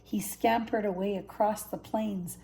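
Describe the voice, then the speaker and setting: A woman's voice: mid-range voice, fairly even intonation, a little slow, gravelly, dark tone. An older woman, a grandmother, narrating a children's story. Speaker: grandmother reading stories.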